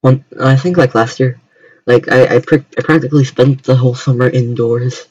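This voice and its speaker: A young American man chatting casually on a livestream, talking haltingly with many repeated starts. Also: medium pitch, steady tone, a little mellow, husky, dry recording, low-quality recording. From United States. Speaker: streamer.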